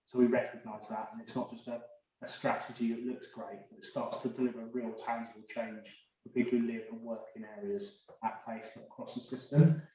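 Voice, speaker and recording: A man's voice: mid-range voice, flat delivery, a little fast, dark tone. A man giving earnest, unscripted remarks as a panellist. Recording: very echoey, distant, dull room sound.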